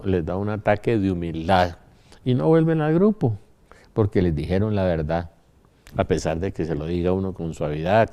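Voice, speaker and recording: A man's voice: on the low side, mellow. An older man with a Colombian accent giving an informal religious talk in an anecdotal, emphatic manner, often asking rhetorical questions. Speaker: religious speaker.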